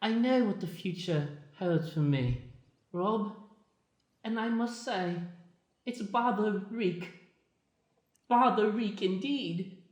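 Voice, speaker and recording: A woman playing a plaintive cyborg child in an improvised comic radio play, halting and overdramatic. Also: medium pitch, a little mellow, natural voice. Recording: roomy echo.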